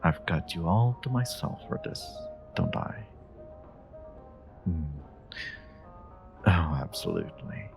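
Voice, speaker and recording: A man playing a flirty, charming stranger in an intimate romantic audio roleplay, with teasing, drawn-out, murmured lines. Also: low-pitched, wildly swooping pitch, creaky, music in the background, full character voice.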